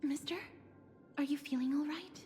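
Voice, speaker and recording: Actress as soft-mannered, courteous young game heroine, slightly high, with long reverb. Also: female voice, fairly even intonation, moderate pace, a little hiss or hum, lightly acted. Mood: gentle concern. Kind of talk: video game voice acting. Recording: long reverb, music behind. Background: game music.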